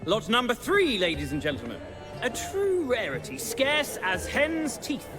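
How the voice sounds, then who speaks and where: A theatrical game auctioneer with an extremely high male voice that sweeps up and down. He is genteel, effusive and excitable. Music runs behind his patter. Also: unhurried, full character voice. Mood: reverent, enticing. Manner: showy, effusive, formal.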